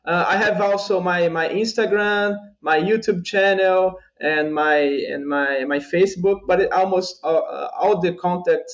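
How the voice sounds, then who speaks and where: A Brazilian man whose first language is Portuguese, a podcast guest answering in halting English, repeating words as he searches for them. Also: high voice, highs cut off. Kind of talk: casual interview answers.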